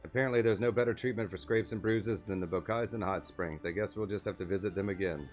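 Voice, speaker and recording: A man's voice: fairly low pitch, quick pace. A man streaming a video game, reading its text aloud and adding casual offhand commentary. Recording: game music behind the voice. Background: video game music.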